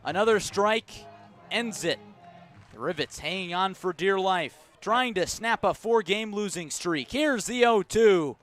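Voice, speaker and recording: A man's voice: high-pitched, animated, unhurried, a bit bright. A man doing live baseball play-by-play on the radio in clipped, descriptive phrases that build with the action, outdoors at a ballpark. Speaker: play-by-play sports announcer.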